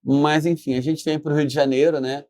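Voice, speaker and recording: A middle-aged Brazilian man telling stories casually as a guest, haltingly, repeating himself and often checking for agreement. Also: on the high side, little pitch movement, a little mellow, no echo. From Brazil, born in Espírito Santo. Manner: halting, repetitive, often seeks agreement with tag questions. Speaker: interview guest, business founder. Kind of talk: casual storytelling in conversation.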